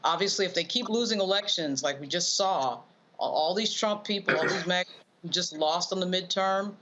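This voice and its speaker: A woman's voice: fairly low pitch, moderate pace, fairly bright tone, dull audio. A woman giving off-the-cuff political analysis as a panel commentator, emphatic and repeating phrases for stress.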